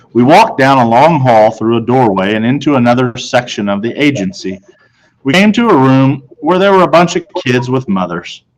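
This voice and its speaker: A man's voice: mid-range voice, rough recording. Male narrator, mid-range voice, for a read-aloud of a children's chapter book. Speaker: reader of a children's novel.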